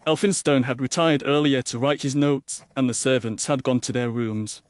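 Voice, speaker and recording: A man voicing an earnest, troubled gentleman who speaks formally and emphatically, in an audiobook. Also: mid-range voice, steady tone, normal speed, smooth, no room sound, clean studio sound, pitched above the performer's own voice, lightly acted. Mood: earnest, insistent. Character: Sir Alastair, earnest, troubled gentleman under questioning. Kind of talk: audiobook character dialogue.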